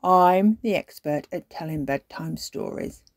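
A woman's voice: sits low, a little slow, creaky, no echo, studio quality, heavily acted. A woman voicing a good-natured, confident father pig in a children's storybook, with a coaxing, self-assured manner.

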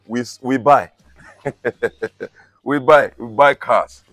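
A man's voice: medium pitch, very slow, fairly bright tone, dry recording, full character voice. A man playing a car buyer in a comedy skit, chuckling and dismissive. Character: amused man refusing to return a car.

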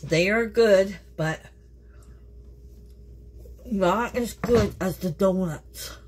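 A woman's voice: medium pitch, extremely slow, a little mellow, slight background noise. A woman from Canada hosting a casual home cooking vlog in her kitchen, chatting to viewers and laughing often. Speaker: home cook, channel host.

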